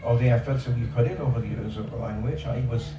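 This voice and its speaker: A man's voice: mid-range voice, steady tone, fast, dull audio. Older guy on a panel, stressing his points hard, mic kinda far away, echoey with noise behind. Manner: emphatic, repeats words for stress. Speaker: panel speaker. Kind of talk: panel discussion. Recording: echoey outdoor space from far off, background noise.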